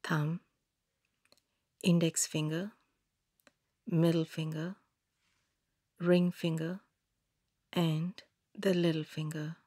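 A woman leads a scripted relaxation practice at a very slow, unhurried pace. Her voice is fairly low and soft, with plenty of breath in it. She gives each instruction calmly and gently, leaving long pauses between phrases. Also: husky, dry recording, clean studio sound. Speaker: yoga nidra meditation guide. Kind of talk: scripted guided meditation narration.

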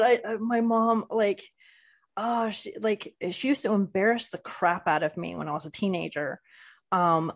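Lively storytelling woman in her fifties. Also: medium pitch. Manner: lively storyteller, acts out voices. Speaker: podcast guest, author. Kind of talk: casual chat.

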